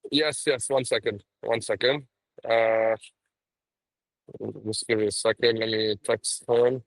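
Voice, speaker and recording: A man's voice: medium pitch, steady tone, takes it slowly, no echo, low-quality recording. A man joining a call from a social event with his camera off, chatty, with lots of stammered restarts. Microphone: phone on a call, camera off.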